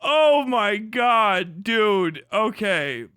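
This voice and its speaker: A man's voice: high-pitched, very animated, extremely slow, pushed and bright, clean tone, no room sound, studio quality. A young man hosting a livestream, giving crude, mocking reaction commentary and laughing often. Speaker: livestream host. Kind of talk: profane live reaction commentary.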